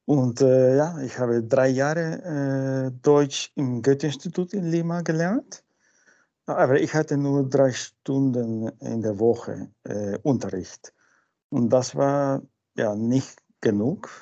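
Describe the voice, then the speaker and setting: A man from Peru, a native Spanish speaker with a Peruvian Spanish accent, answering interview questions informally and haltingly, searching for words. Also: mid-range voice, dark tone. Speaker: interview guest. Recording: dull lo-fi sound.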